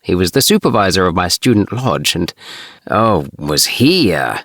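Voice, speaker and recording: A man voicing an easygoing, chatty young alien spaceman in a storybook, with relaxed, hesitant phrasing. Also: low voice, normal speed, no echo, studio quality, heavily acted. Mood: fond, amused. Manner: relaxed, with sighs and hesitant uhs.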